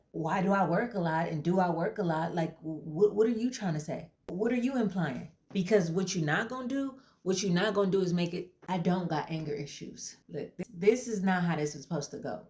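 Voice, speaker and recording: A woman voicing a brash, defensive young mother in therapy who talks loud and fast and pushes back on every question. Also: fairly low pitch, lively intonation, lightly acted. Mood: indignant. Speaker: therapy client. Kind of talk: comedy sketch acting.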